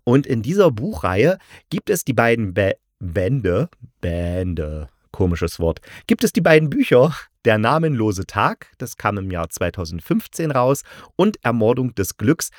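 Male podcast reviewer, lowish voice, chatty and explanatory, close dry sound. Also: no room sound. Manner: conversational, explanatory, addressing listeners directly. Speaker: podcast host and radio drama critic.

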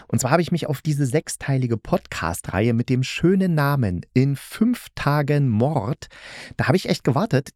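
A man's voice: mid-range voice, darkish tone, dry recording, clean studio sound. A man hosting a podcast, reviewing in a casual, chatty way and breaking into his own sentences with asides. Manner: chatty, self-interrupting asides. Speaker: podcast host reviewing audio dramas.

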